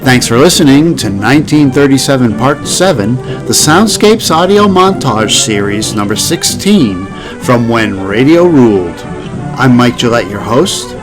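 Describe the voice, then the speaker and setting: A man's voice: mid-range voice, animated, measured pace, rough recording. A man hosting a podcast, reading a scripted outro. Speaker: host. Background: music.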